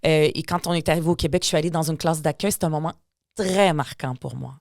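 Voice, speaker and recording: A woman's voice: low voice, fast talker, vocal fry, no echo, clean studio sound. A woman in her forties from Lebanon, a native Palestinian Arabic speaker with a Quebec French accent, telling her life story candidly in a casual interview. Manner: candid, animated storytelling with frequent restarts. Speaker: guest politician.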